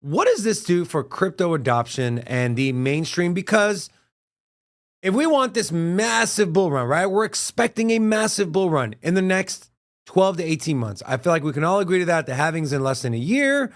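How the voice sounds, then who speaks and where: A man's voice: high voice, big pitch swings, normal speed. A man co-hosting a crypto news live stream. He asks his partner pointed questions and stretches out his emphasis. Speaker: co-host of a crypto news show. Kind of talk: casual live-stream chat.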